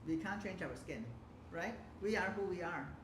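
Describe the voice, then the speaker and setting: A man's voice: high-pitched, lively intonation. Male panelist, hesitant and rambling, recorded in a noisy echoey hall. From Hong Kong. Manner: halting, many fillers and restarts. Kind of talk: forum panel discussion. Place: community forum hall. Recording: echoey room with loud background noise.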